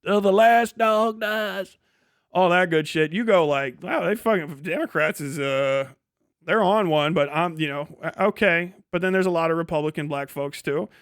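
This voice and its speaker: A man's voice: high voice, normal speed, fairly bright tone, smooth, no echo, studio quality. A man in his forties on a livestream, casually ranting about politics in an irreverent, rambling way with heavy swearing. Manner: heavily profane, irreverent, rambling. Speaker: podcast host and streamer.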